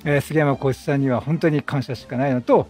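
A man's voice: medium pitch, fairly even intonation, fairly quick, a little mellow. A middle-aged man giving casual, chatty game commentary, quipping at the characters as he plays. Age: 30s or 40s. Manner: chatty, reacts and quips at the characters. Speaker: gameplay commentator. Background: game music.

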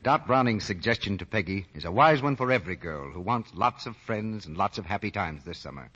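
A man's voice: on the low side, lively intonation, brisk, a bit bright. A man reading scripted announcements and commercials as a 1940s radio announcer. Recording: muffled, rough 1940 radio recording.

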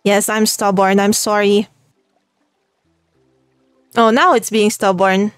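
A woman's voice: mid-range voice, level tone, takes it slowly, no room sound, clean studio sound, faint music behind. A young Filipino woman with a Tagalog background, chatting cheerfully as she plays a game and talks to her viewers, with frequent laughs. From Philippines. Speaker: gaming channel host. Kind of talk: let's play commentary.

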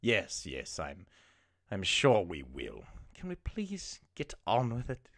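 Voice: low voice, huge pitch swings, takes it slowly, dry recording, clean studio sound, heavily acted. A performer playing a pompous male casting director in a comedy sketch, impatient and prone to stammering. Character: exasperated male casting director for a baby-food ad.

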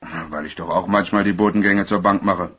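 Deep, husky, guarded clerk. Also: male voice, steady tone, fairly quick, a bit bright, exaggerated voice. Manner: matter-of-fact, slightly guarded answers. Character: guarded, plain-spoken bachelor shipping clerk at small firm. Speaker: actor. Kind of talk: crime radio play dialogue.

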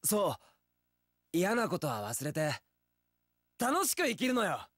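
An actor playing a young wandering warrior in an action game, easygoing, romantic and sincere, with casual, rough speech. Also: male voice, sits high, wildly swooping pitch, a little slow, a bit bright, no room sound, studio quality, heavily acted. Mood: carefree. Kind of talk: Japanese action game voice acting.

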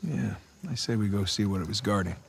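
A man's voice: fairly low pitch, a little fast, creaky, a little hiss or hum. A man in his thirties with an American accent playing a wry, wisecracking treasure hunter in a video game, casual and sardonic. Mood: wry, curious. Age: 30s. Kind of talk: video game cutscene acting.

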